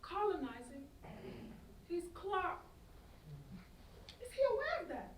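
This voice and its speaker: Young woman, played by an actress, high-pitched, sarcastic, emphatic slangy ranting, stage reading, echoey room. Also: fairly bright tone, heavily acted. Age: young adult. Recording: roomy, distant stage mic, faint noise.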